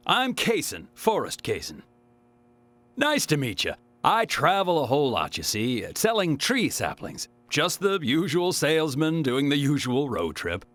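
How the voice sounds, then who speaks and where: A man's voice: on the high side, huge pitch swings, a little slow, a bit bright, full character voice. An actor playing a jovial, talkative travelling salesman in a video game cutscene, with a folksy, chatty manner. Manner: chatty, folksy, chuckling, exclamatory.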